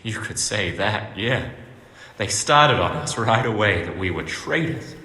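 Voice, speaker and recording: An actor playing a coarse, foul-mouthed young bandit in a video game, speaking bluntly. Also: male voice, lowish voice, animated, measured pace, sharp tone, heavily acted. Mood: rueful recounting. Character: rough, foul-mouthed young bandit recounting a botched getaway. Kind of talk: video game cutscene acting. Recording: roomy, reverberant, faint music behind.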